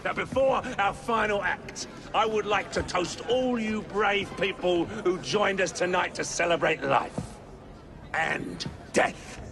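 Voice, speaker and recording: A man's voice: high-pitched, slow, creaky, full character voice. An actor playing a theatrical, gleefully murderous villain showman in a video game, grandiose and mocking, drawing out his words with flourishes. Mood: gleeful showmanship. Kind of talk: video game cutscene acting.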